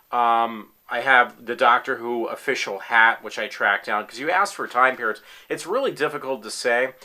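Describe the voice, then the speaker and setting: A man's voice: mid-range voice, sharp tone. A man in his forties talking casually in his room at home, rambling with many fillers and restarts as an eager fan. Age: 40s. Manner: enthusiastic fan. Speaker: applicant in a tryout video. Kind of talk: casual self-recorded talk.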